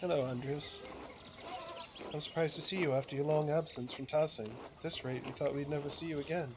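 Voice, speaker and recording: A man voicing a reserved, soft-spoken townswoman in a video game. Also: on the high side, fairly even intonation, fairly quick, natural voice. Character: reserved townswoman greeting a long-absent old acquaintance. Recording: noisy recording with game ambience.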